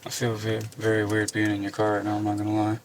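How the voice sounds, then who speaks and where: A man's voice: lowish voice, monotone, fast talker, a little hiss or hum. A young man from Puerto Rico, not a native English speaker, giving casual, hesitant and evasive answers as a driver questioned by police, on body camera audio. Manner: hesitant, hedging.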